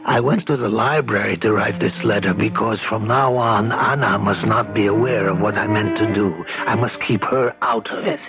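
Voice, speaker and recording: A middle-aged man in a 1970s radio drama, playing a grieving father who narrates his plan for revenge in a slow, intense way. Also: fairly low pitch, natural voice. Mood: grim. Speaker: lead and narrator. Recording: dull old radio broadcast with music.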